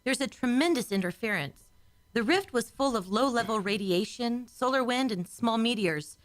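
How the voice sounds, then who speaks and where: A woman's voice: on the high side, lively intonation, normal speed, no room sound, lightly acted. A woman playing an eager, obedient communications officer in a science fiction radio play, explaining as she reports. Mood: intrigued.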